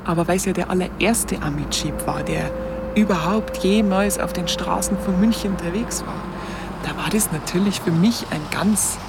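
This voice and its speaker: A woman's voice: on the low side, normal speed, creaky, very noisy. An actress playing a candid Munich woman with a Bavarian accent, reminiscing in conversational radio play narration. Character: candid Munich woman recalling her youth in 1945.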